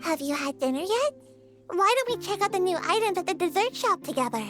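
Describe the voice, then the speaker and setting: Very high, childlike little creature girl. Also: sing-song, fairly quick, over music. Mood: caring. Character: small childlike female creature, curious and caring. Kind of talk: video game voice acting.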